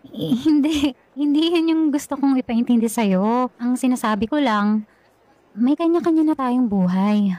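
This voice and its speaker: A woman's voice: high voice, lively intonation, unhurried, warm tone, dry recording. An actress playing a flustered young woman meeting her ex-boyfriend in a radio drama, hesitant and evasive. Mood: uneasy. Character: young woman, flustered ex-girlfriend now engaged.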